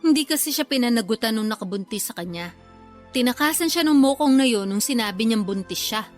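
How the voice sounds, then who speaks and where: Woman acting as evasive older sister, Filipino accent, very high voice, defensive, pleading, radio drama, faint music. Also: lively intonation, fairly quick, lightly acted. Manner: defensive, pleading, excuse-making.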